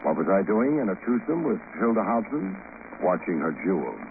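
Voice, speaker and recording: A wisecracking radio gumshoe, deep-ish and dry, super tinny old broadcast. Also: male voice, big pitch swings, brisk, vocal fry, noisy background. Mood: dry, ironic. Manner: dry, ironic, colloquial patter. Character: wisecracking, breezy male private investigator narrating his case. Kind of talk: 1950s radio drama, hardboiled narration.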